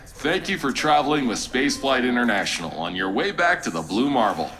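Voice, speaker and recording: An actor playing a playful, smug spaceship computer pilot in an audio drama, with polished announcer delivery and dry sarcasm. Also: male voice, mid-range voice, big pitch swings, brisk, fairly bright tone. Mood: smug, formal.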